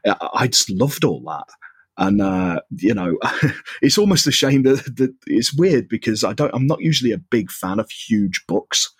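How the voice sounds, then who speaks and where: Mancunian man, podcast guest, husky and dry, rambling and chuckling. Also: fairly low pitch, animated, normal speed, no room sound. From northwest England. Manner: rambling, laughs often, many fillers and restarts. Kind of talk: casual chat.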